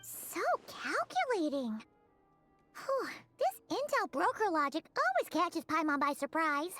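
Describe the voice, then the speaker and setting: Squeaky, bubbly little fairy sidekick. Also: female voice, big pitch swings, measured pace, pushed and bright, faint music behind, full character voice. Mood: wry. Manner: refers to self in third person, exclamatory. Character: small excitable female fairy companion, childlike, cheeky.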